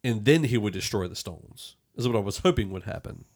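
A man's voice: lowish voice, animated, fairly quick, darkish tone. A man hosting a casual movie review podcast, talking in an opinionated, conversational way. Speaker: podcast host.

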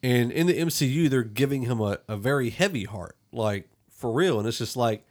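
Male podcast host, mid-pitched voice, casual and opinionated review chat. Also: animated, moderate pace, darkish tone.

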